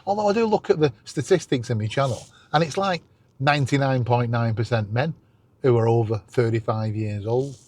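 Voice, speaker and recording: A man's voice: medium pitch, fairly quick, darkish tone. A middle-aged man, chatting casually and enthusiastically as a self-deprecating YouTube host.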